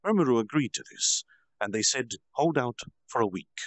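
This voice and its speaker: A man's voice: medium pitch, expressive, moderate pace, dry recording. A man casually recapping a fantasy novel as a storyteller, dramatic with asides and self-corrections. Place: virtual reality social space.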